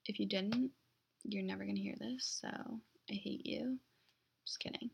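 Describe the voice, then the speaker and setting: A young woman hosting a casual solo podcast, talking in a monotone with lots of "like" fillers. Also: medium pitch, measured pace, gravelly, slightly rough. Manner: monotone, flat-sounding delivery; heavy use of "like" as a filler. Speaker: podcast host.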